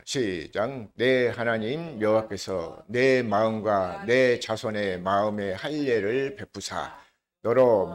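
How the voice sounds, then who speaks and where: An older man with a regional Korean dialect, preaching a conversational sermon as pastor and often asking the congregation questions. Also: mid-range voice. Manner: slows down to lead scripture reading together.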